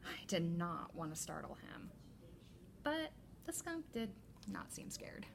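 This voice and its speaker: A woman reading a children's picture book aloud as a teacher librarian, with deliberate, expressive storytelling. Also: lowish voice, gravelly, a little hiss or hum.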